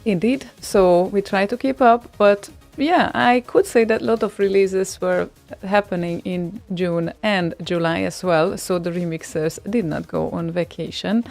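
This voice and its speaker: A woman's voice: fairly low pitch, steady tone, a little slow, vocal fry, few pauses, a little mellow, faint music behind. A woman hosting a radio show, chatting casually in a rambling way with many fillers and a dry, teasing humor. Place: radio studio.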